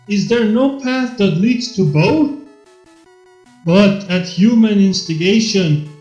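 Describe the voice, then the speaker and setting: A man's voice: high voice, dark tone, reverberant, muffled recording. A man voicing a theatrical robot who declaims a grandiose, anguished Shakespearean soliloquy in a fan fiction reading. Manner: grandiose, declamatory stage delivery.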